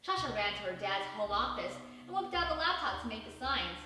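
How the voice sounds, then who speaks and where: A woman narrating a children's storybook in an expressive, animated, dramatic way. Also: mid-range voice, fairly quick. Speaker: storybook reader. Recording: echoey room, distant mic, faint music.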